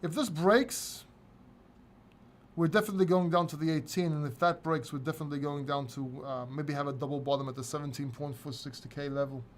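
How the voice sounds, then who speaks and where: A man's voice: on the high side, steady tone, fairly quick, a little hiss or hum. A man from Greece hosting a casual live crypto Q&A, reading viewer questions from chat and answering them candidly, sometimes swearing. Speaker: crypto channel host.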